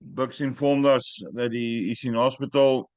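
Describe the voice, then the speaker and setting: A man hosting a group call in English, which is not his first language, talking in a direct, plain-spoken and sometimes halting way. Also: mid-range voice, flat delivery, darkish tone, clean tone, no room sound. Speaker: host. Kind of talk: casual group call chat. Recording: dry muffled call audio.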